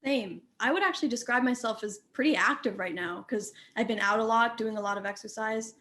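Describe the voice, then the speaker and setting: A woman's voice: on the high side, a little fast, a bit bright, close to an everyday voice. A woman playing an optimistic, grateful young student in documentary theater, with casual youthful phrasing. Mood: upbeat, grateful.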